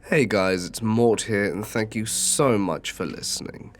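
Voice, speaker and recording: A man hosting a horror story channel, hoarse from a cold, narrating and then chatting through an apologetic outro. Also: on the low side, a little slow, faint music behind.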